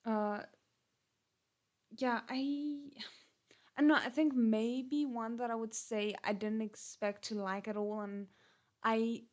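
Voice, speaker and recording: A woman's voice: medium pitch, darkish tone. A woman with a South African accent chatting casually on a livestream, rambling with frequent restarts and fillers. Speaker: channel host.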